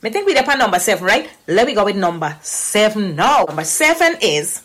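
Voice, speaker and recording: A woman's voice: mid-range voice, sing-song, a little fast, fairly bright tone. A woman from Guyana with a Guyanese Creole accent, giving a casual spoken lesson, repeating phrases and quizzing the listener. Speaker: language teacher.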